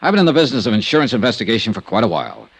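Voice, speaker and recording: Fast, hard-boiled American radio investigator. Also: male voice, fairly low pitch, huge pitch swings, vocal fry, breathy edge, no echo, heavily acted. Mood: firm, pressing. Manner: clipped, needling, wry, pressing questioner. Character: brisk, hard-boiled male freelance insurance investigator. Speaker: radio drama lead actor. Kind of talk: Golden Age American radio detective acting.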